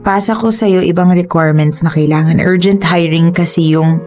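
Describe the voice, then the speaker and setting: A woman's voice: on the low side, fairly even intonation, moderate pace, darkish tone, soft background music, natural voice. An actress playing a loving, reassuring young girlfriend in a radio drama, cheerful and laughing often.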